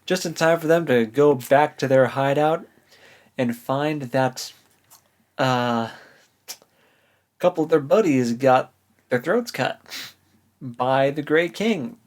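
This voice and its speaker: Man, book podcast co-host, casual recap, conversational, medium pitch. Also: slow, pauses often.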